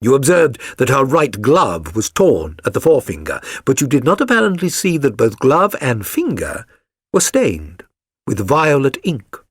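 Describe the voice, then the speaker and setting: A man's voice: medium pitch, wildly swooping pitch, normal speed, slightly rough, no echo, clean studio sound. A man voicing a keen, self-assured detective for an audiobook, with a British accent and a precise, lecturing, condescending manner. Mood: pleased, instructive. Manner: precise, didactic, theatrical. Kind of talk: dramatised audiobook reading.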